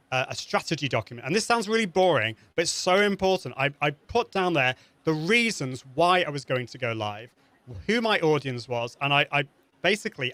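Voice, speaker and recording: A British man speaks as an interview guest, his voice sitting a little high with a bright, forceful edge. He talks in an earnest, encouraging way and often restarts mid-sentence. The sound is dry and close, with little room around it. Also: dry recording. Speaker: guest expert. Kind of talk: casual interview advice.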